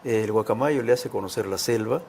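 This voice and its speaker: A man with a gravelly voice, talking casually and haltingly as a guest author. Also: lowish voice, darkish tone, slight background noise. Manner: hesitant, halting phrasing. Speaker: guest author, children's writer. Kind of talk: casual interview talk and storytelling.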